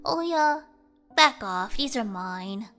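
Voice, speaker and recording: A woman puts on an eager, argumentative young boy from a visual novel, bossy and bickering like an older brother. The voice is fairly high, with music underneath. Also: animated, drawn-out words, fairly bright tone, heavily acted.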